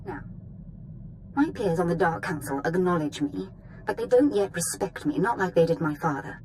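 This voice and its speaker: An actress playing a haughty, threatening young dark lord in a video game, speaking theatrically. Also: female voice, on the low side, sing-song, normal speed, slight background noise, poor audio. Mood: cold, ambitious. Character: imperious, menacing young female dark lord, daughter of a dead master. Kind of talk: scripted video game acting.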